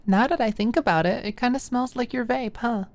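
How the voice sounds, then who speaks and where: Woman voicing a sweet girlish young woman, pitched up. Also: fairly quick, lightly acted. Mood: playful, intimate.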